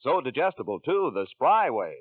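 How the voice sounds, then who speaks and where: A man's voice: medium pitch, very animated, moderate pace, husky, dry recording. A man reading a scripted 1940s radio commercial as announcer, on a muffled old broadcast. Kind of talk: 1940s shortening ad read.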